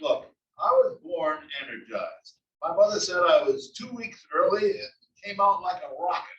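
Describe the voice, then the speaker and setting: A man reading the part of an eager, energetic husband of seventy-five in a comedy table read. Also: high voice, normal speed, slight background noise. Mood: hurried. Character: energetic, hurried seventy-five-year-old husband, retired engineer. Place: library room reading before an audience. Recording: dull distant mic in echoey room.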